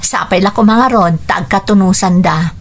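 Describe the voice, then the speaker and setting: An actress playing an affectionate, teasing young daughter in a radio drama, speaking with an Ilocano accent. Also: female voice, mid-range voice, moderate pace, close to an everyday voice. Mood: teasing, hopeful. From Philippines.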